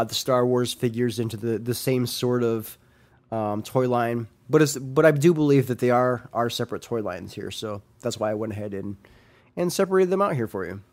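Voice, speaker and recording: A young man with a slightly nasal, congested voice talks through his picks in a loose, rambling solo podcast. He pauses on ums and restarts his sentences as he goes, speaking at an ordinary middle pitch. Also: a little mellow. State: congested from a sinus infection. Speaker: podcast host. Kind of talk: casual solo commentary.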